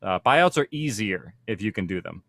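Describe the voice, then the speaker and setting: A man's voice: on the low side, animated, moderate pace, fairly bright tone, dry recording. A man speaking informally as a panel host, explaining things in a conversational way. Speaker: panel host, audio production producer.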